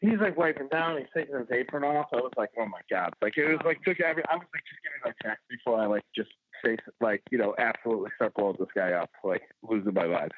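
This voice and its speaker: A male chef telling loose, rambling stories as a podcast guest and filling his sentences with "like." His voice sits mid-pitch and comes through thin and tinny, like a phone call. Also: expressive, fast talker, few pauses, slight background noise. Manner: rambling storyteller, heavy use of "like". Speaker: chef, show finalist guest. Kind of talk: casual podcast interview.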